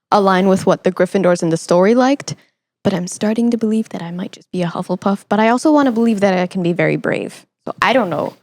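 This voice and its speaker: A young adult woman hosting a casual interview chat, reacting in an animated, teasing way. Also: mid-range voice. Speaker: podcast host.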